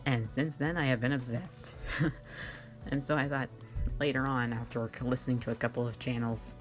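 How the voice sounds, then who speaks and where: Low-voiced, giggly young woman chatting casually. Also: fairly even intonation, normal speed, soft background music. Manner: giggly, rambling, playfully self-mocking. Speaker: online channel host and amateur voice actor. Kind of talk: casual question-and-answer chat to followers.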